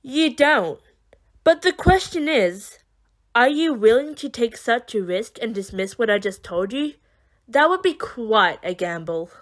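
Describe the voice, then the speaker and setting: Actress as sly coy woman in audio drama, highish voice that swoops around, dodges questions. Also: lively intonation, a little slow. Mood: smug, challenging. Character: smug, evasive, teasing woman who teleports.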